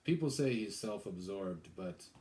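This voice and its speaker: A man voicing a smooth, scheming political operator in a storybook reading. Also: sits low, animated, measured pace, darkish tone, echoey room.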